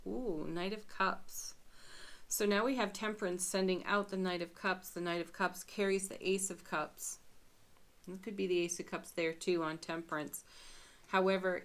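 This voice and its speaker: A woman giving a chatty daily tarot reading, musing aloud with frequent soft laughs and a slightly scratchy voice. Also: mid-range voice. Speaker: tarot reader.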